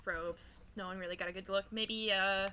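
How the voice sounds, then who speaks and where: Clear background noise sits behind a woman of medium pitch. She plays a tabletop roleplaying game in a casual, hesitant way, often trailing off and restarting her thought. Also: fairly even intonation, normal speed, bright, forceful tone.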